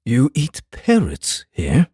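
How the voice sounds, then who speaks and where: A guarded samurai in a dramatized fantasy audiobook. His voice is dark and husky, his phrasing formal and measured, with a calm air of command and a lively rise and fall. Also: mid-range voice, dry recording, clean studio sound, exaggerated voice. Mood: confused.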